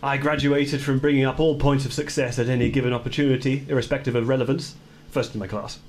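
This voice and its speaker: A man's voice: medium pitch, fairly even intonation, fast talker, a little mellow, a little hiss or hum, lightly acted. A man playing a boastful, self-important character hiding insecurity behind mock modesty in a radio play. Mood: smug.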